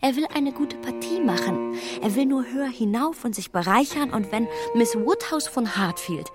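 An actress playing a proud, self-reproaching young gentlewoman with a haughty, emphatic manner in a German radio drama. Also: on the high side, lively intonation, normal speed, close to an everyday voice. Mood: indignant, haughty. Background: music.

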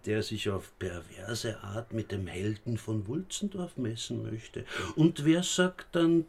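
Male, average pitch, Austrian accent, police inspector, dry, firm, measured, formal, radio drama. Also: creaky, darkish tone.

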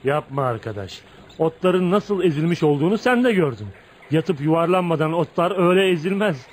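A man's voice: fairly high pitch, expressive, a little fast. An actor playing a brooding, jealous man of volatile temper in a dramatic, intense radio theatre style. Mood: bitter, pleading. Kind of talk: Turkish radio theatre acting.

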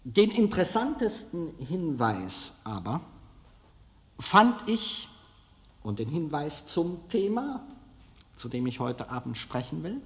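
A middle-aged man giving an anecdotal public lecture as a film director, speaking deliberately and rhetorically with dramatic pauses. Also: a little high, sing-song. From Germany. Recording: reverberant lecture hall.

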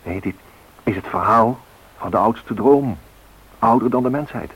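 An actor playing a solemn, poetic storyteller narrating a radio drama with dramatic emphasis and a husky voice. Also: male voice, mid-range voice, a little slow. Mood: rising, impassioned. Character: solemn poetic male storyteller of flight myths. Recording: muffled old radio recording.

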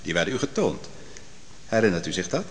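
A man's voice: low-pitched, very animated, brisk. A man playing a probing, exact courtroom questioner in a Dutch radio drama, pressing with pointed phrasing. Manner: pointed, interrogating. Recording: reverberant hall, old radio drama.

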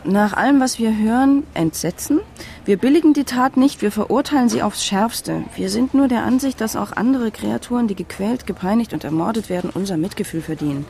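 A woman's voice: medium pitch, a little fast, vocal fry, darkish tone, a little hiss or hum, natural voice. An actress playing a direct, inquisitive woman journalist in her thirties, in a naturalistic radio drama. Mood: reading aloud. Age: 30s. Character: brisk, curious female journalist in her mid-thirties.

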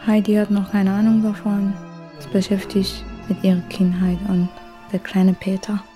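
A woman with a flat, mid-pitched delivery describes a story in short, simple sentences. She is a non-native German speaker, and music plays clearly behind her. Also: normal speed. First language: not German.

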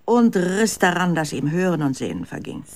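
A woman's voice: fairly low pitch, lively intonation, normal speed, noisy background. A woman narrator reading scripted storytelling for a children's radio play.

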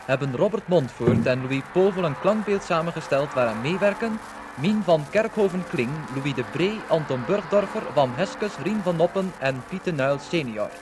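A noisy vintage radio recording of a male announcer. He speaks formally in a fairly high voice, as in a 1950s broadcast. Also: animated, moderate pace.

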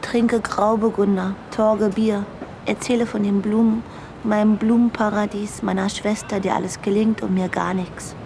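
A woman's voice: medium pitch, little pitch movement, normal speed, mellow, a little hiss or hum, lightly acted. An actress in a radio drama playing an insecure, lonely woman who confides her thoughts. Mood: confiding, pensive. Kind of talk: radio drama, intimate inner monologue and dialogue.

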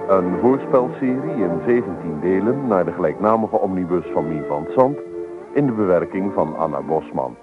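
A man's voice: sits low, expressive, moderate pace. A man announcing a radio drama series in a scripted introduction. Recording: tinny old radio recording over music.

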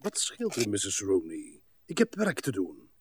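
An actor playing an irascible, officious stationmaster with a melancholy streak in a radio play. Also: male voice, huge pitch swings, a little slow, slight background noise. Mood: curt. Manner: swings from shrill barking to muttering.

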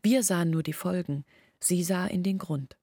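An actress playing a village woman in a radio drama, recounting her outcast family's shame in a measured, reflective way. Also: lowish voice, warm tone, breathy edge, dry recording, clean studio sound. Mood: grave, reflective. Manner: measured, reflective, articulate storytelling. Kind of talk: literary radio drama monologue.

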